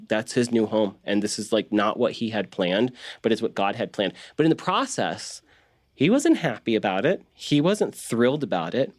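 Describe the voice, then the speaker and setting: Low-voiced man chatting, halting and restarting. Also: no echo. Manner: halting, repeats words, animated asides. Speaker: voice actor. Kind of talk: casual interview talk.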